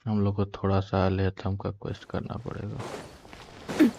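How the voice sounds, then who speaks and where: A man's voice: low voice, very fast, gravelly, warm tone, a little hiss or hum. A man with an Indian accent giving casual live commentary as he streams a game. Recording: game music behind.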